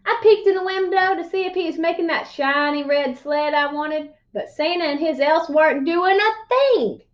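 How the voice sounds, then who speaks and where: A woman's voice: sits high, dull audio, voice pushed up, lightly acted. A woman voicing a crotchety old country man who spins tall tales in a folksy, emphatic way for a storybook. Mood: folksy, earnest. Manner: folksy, emphatic, drawn-out exaggeration. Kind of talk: storybook read-aloud character voice.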